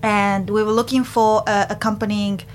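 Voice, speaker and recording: Chatty woman radio host, rumbly background noise. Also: little pitch movement, normal speed. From Japan, childhood partly in England. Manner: chatty, laughs often. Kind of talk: community radio presenting and friendly interview chat. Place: radio studio. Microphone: studio microphone.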